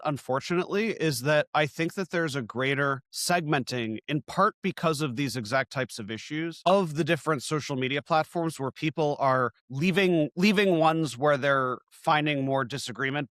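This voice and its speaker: A man who works as an independent video journalist, talking through a long story in a conversational interview and turning lively when he quotes other people. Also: mid-range voice, dry recording, studio quality. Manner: long-winded, animated when quoting others.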